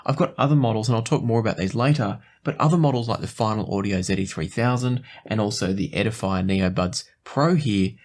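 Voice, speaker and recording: A man presenting a product review in a conversational, explanatory and even-handed way. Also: lowish voice, brisk, hardly any pauses. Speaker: host of an audio gear review show.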